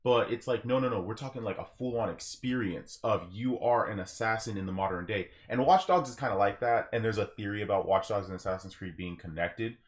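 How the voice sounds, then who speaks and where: A man with a fairly low voice hosts a podcast. He talks casually and explains his points with emphasis. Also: brisk, few pauses. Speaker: podcast host.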